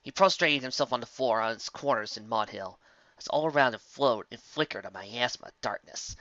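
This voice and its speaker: A man's voice: mid-range voice, brisk, bright, forceful tone, dry recording, lo-fi sound. A man narrating a fantasy story in a dramatic, scripted audiobook style.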